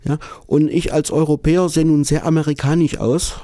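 A man's voice: a little high, steady tone, warm tone, no echo. A man from Germany living in Japan, a guest telling his stories in a conversational interview, often repeating words and tagging "ne". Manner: halting, repeats words, frequent "ne" tags.

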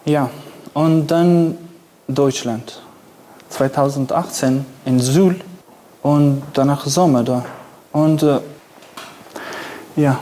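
Man, Kurdish accent, author speaking to an audience, slow halting German, dark mellow voice, faint room noise. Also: on the high side. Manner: halting, searching for words, self-deprecating. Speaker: author and satirist. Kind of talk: reading event talk. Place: event venue with audience.

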